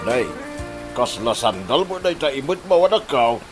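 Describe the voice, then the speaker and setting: Animated Ilocano actor as a teasing village father. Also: medium pitch, normal speed, music in the background, lightly acted. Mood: teasing, admiring. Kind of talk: Ilocano comedy drama acting.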